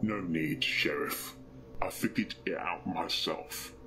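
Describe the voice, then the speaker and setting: A man voicing a stern male pony detective who speaks with deliberate, accusatory authority in a fan fiction reading. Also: low voice, steady tone, sharp tone, slight background noise, pitched below the performer's own voice, exaggerated voice. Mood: stern, commanding.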